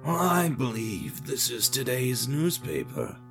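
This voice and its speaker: A man's voice: medium pitch, wildly swooping pitch, gravelly, a bit bright, faint music behind, voice pushed up, heavily acted. A man playing a rather old, polite and mild newspaper receptionist in an audio drama. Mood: polite, puzzled. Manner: slow, polite, unhurried.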